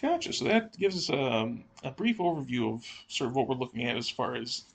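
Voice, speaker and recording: A man with a mid-pitched voice and noticeable vocal fry co-hosts a podcast. His delivery is casual and measured, steering the conversation along. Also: very animated. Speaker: podcast co-host.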